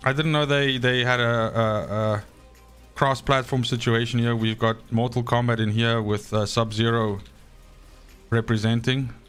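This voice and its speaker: A man's voice: medium pitch, flat delivery, unhurried, fairly bright tone, clean tone, faint music behind. A man, an Afrikaans speaker, rambling and swearing freely as he streams a game and talks to his chat. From South Africa. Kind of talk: live gameplay stream chat.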